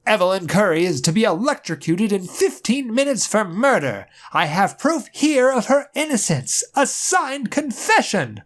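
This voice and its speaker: A man voicing a calm, forceful male superhero in a comic dub, speaking in a firm, measured, commanding way. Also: high voice, voice pushed up. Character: stern heroic male strongman, calm and forceful.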